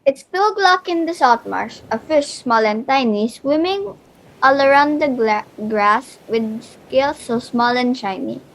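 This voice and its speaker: High-pitched female picture-book reader. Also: expressive, unhurried, rough recording. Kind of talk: children's picture book read-aloud.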